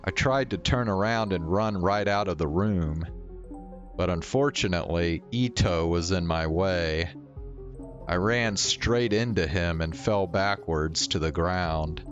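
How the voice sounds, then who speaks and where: A man's voice: deep voice, unhurried, muffled recording. A man doing casual game commentary and reading visual novel text aloud. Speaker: game streamer. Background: game music.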